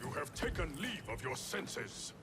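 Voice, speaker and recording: A man's voice: a little high, big pitch swings, unhurried, heavy background noise. A man playing a proud, stern warrior king in a video game, grave and formal. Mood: outraged. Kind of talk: dramatic video game acting. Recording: loud music behind.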